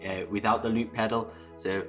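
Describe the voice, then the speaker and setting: A hoarse young British man with a lost voice, giving a relaxed, step-by-step music lesson. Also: on the low side, normal speed. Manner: relaxed and chatty, explains step by step. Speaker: music teacher. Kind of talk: instrument lesson.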